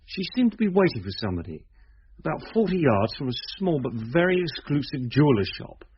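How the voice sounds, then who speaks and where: An actor playing a calm, methodical British detective inspector in a radio drama, speaking with measured, matter-of-fact authority. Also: male voice, a little high, slight background noise. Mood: grave, methodical. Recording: dull, lo-fi old radio recording.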